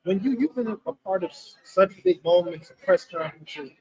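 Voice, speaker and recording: A man's voice: sits high, animated, normal speed, dark tone, poor audio. A man interviewing a boxing guest, asking long rambling questions full of fillers. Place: busy press event hall.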